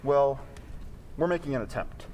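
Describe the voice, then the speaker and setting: An actor playing a composed, inquisitive gentleman detective in old-time radio drama style, speaking formally and deliberately. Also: medium pitch, close to an everyday voice. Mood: polite, inquiring. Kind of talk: 1940s radio drama acting. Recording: echoey hall, faint background noise.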